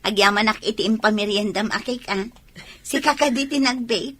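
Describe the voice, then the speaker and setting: A woman's voice: mid-range voice, moderate pace, a bit bright, natural voice. A woman with an Ilocano accent acting as a cheerful, chatty middle-aged teacher in a radio drama, full of exclamations.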